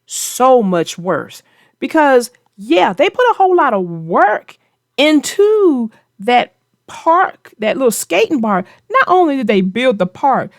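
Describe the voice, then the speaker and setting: A woman's voice: sits high, sing-song, takes it slowly, no room sound, clean studio sound. A woman host discussing a children's story and giving advice in an emphatic, conversational way. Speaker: host of a children's read-aloud show.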